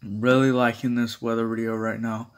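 A man chatting casually and haltingly as he films a home vlog. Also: mid-range voice, flat delivery, clear, resonant tone. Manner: halting, informal. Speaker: video creator showing a weather radio collection. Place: small room at home, indoors. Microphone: handheld phone or camera held close.

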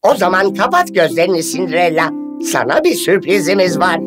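A woman's voice: fairly low pitch, very animated, brisk, over music. A woman voicing an earnest, precise little male mouse in a children's cartoon. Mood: friendly.

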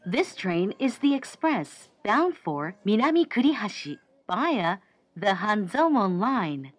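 A woman's voice: medium pitch, big pitch swings, very slow, no echo. A woman reading scripted train announcements in a formal register.

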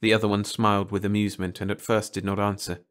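A man's voice: on the low side, level tone, quick pace. A man reading a scripted short story as an audiobook narrator.

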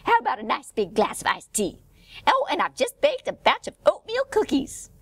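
A woman's voice: high voice, huge pitch swings, normal speed, no room sound, full character voice. A woman voicing a kind, lonely female desert snake in a storybook, eager and sweetly polite. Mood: hopeful, inviting.

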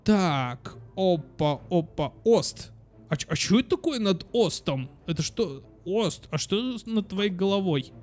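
A young man giving casual, animated let's play commentary for children, exclaiming and thinking aloud as he goes. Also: sits high, unhurried. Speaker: host of children's gaming channel. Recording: music behind. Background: game music.

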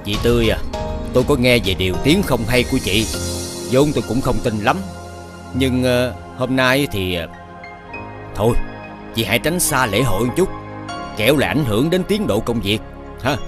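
A pompous older village chief in a cartoon folk tale, voiced by a man. His effusive voice is mid-pitched and very animated, with loud string music behind. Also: moderate pace, long pauses, close to an everyday voice. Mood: hesitant, reproving. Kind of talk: Vietnamese fairy-tale cartoon dubbing.

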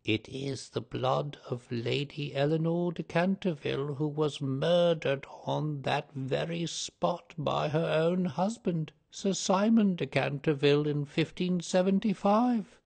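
Brief: ominous old housekeeper, slow and hushed, high and gravelly, put on by a man. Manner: low, slow, mysterious delivery.

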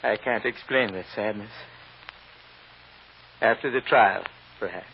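A man's voice: on the low side, measured pace, gravelly. A man playing a burdened, secretive immigrant husband and father in an old-time radio drama, speaking in a guarded, terse, weary way. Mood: burdened, sad. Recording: muffled, noisy old radio recording.

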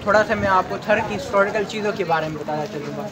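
A man's voice: high-pitched, crowd noise. A young man chatting to a phone camera on a travel vlog, explaining things he shows outdoors. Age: young adult. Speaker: vlogger. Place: outdoors at a roadside stop. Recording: noisy outdoor phone recording.

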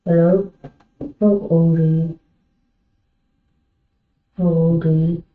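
A woman's voice: sits low, flat delivery, slow, soft background music. A woman gamer chatting casually over in-game voice chat in a plaintive, pestering manner. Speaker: online game player. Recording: phone-like, echoey game voice chat.

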